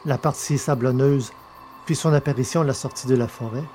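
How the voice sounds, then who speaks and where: A man's voice: medium pitch, steady tone, brisk, darkish tone, a little hiss or hum. A man reading scripted narration as the narrator and presenter of an audio drama podcast. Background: music.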